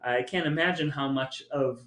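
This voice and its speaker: A man's voice: mid-range voice, normal speed, fairly bright tone. A middle-aged man hosting a podcast, talking earnestly and reflectively about family. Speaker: podcast host. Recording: echoey room.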